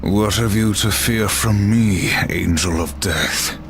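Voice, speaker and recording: A man voicing a cold, stoic warrior Horseman in a video game, terse and slow. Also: fairly low pitch. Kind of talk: video game cutscene acting. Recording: game score under the voice.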